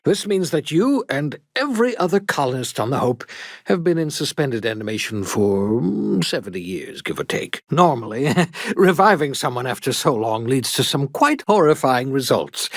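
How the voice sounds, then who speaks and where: An actor playing an eccentric older fugitive scientist in a video game, wry and condescending, muttering theatrically to himself. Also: male voice, medium pitch, roomy sound, big-room sound. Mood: excited, explaining. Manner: muttering to himself, dryly sardonic, swooping emphasis.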